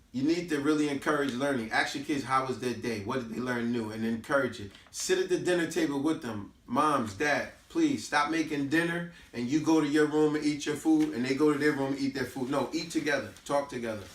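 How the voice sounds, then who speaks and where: A man's voice: mid-range voice, fairly quick, fairly bright tone. Emphatic American man, echoey room. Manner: emphatic, preachy, repeats himself with rhetorical questions. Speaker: host giving a talk on history and culture. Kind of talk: informal teaching talk to companions. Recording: echoey room, distant microphone.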